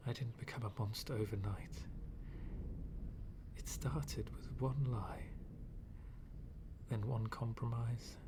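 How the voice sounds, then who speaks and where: A man playing a bitter, menacing villain in an ASMR roleplay, speaking in a brooding, dramatic, half-whispered way with long pauses. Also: mid-range voice, noisy background, lightly acted. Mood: brooding, resolute. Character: fallen-world villain, male, bitter, menacing, coldly philosophical.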